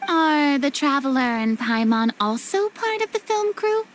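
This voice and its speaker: A woman playing a theatrical, easily flustered young lady in a video game, with an expressive manner. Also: high voice, measured pace, background noise. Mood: questioning. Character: dramatic young woman, former idol, flustered, theatrical, sweet-toothed. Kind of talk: scripted video game dialogue. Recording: music behind the voice.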